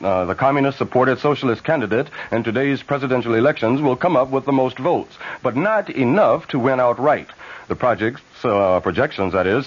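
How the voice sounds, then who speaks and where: Man, American accent, radio newsreader, 1970s bulletin style, medium pitch, dull vintage AM sound. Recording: muffled vintage AM radio recording.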